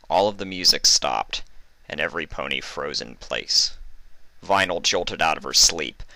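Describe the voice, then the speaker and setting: Deep-voiced man narrating, crisp and bright. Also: dry recording. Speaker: fan fiction narrator. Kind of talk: scripted story narration.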